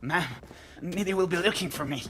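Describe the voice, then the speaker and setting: A man's voice: high voice, brisk, noisy background, natural voice. A man playing an eccentric, nihilistic cartel genius in a video game, theatrical and condescending as he philosophizes. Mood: aloof. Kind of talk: dramatic video game acting.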